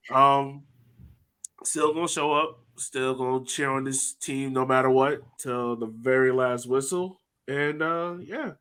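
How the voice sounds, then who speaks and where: A man hosting a casual sports podcast chat, animated and laughing often. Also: medium pitch, measured pace, clear, resonant tone. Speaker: podcast host.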